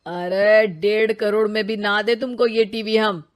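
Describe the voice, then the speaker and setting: A woman's voice: mid-range voice, level tone, measured pace, natural voice. A woman voicing a laid-back elder brother. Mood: casual. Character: elder brother Rohit, easygoing family man.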